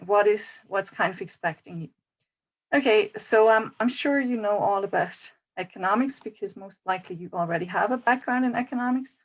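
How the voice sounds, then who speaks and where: A woman with a mid-range voice explains courses step by step in a clear, orderly way. She sounds like she is presenting to a group of prospective students. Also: mid-range voice, fairly bright tone, poor audio. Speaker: programme coordinator. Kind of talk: information talk.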